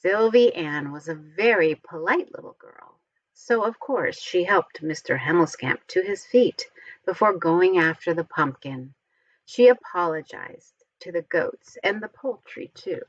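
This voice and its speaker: A woman reads a children's story aloud in an expressive, deliberate way. Her voice is on the low side and husky, and she takes her time over each phrase, enunciating for young listeners. The recording is a little dull. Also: no echo. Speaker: storybook reader.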